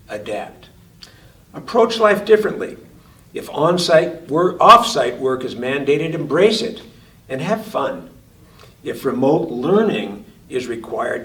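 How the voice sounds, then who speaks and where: A physician and author reading his own essay aloud in a slow, measured, deliberate cadence. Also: mid-range voice. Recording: roomy sound from a distance.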